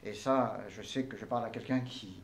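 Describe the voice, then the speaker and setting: A man's voice: fairly low pitch, fairly even intonation, a little fast. An older man from Tunisia, answering interview questions in formal, elaborate phrasing with frequent hesitations. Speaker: entrepreneur guest.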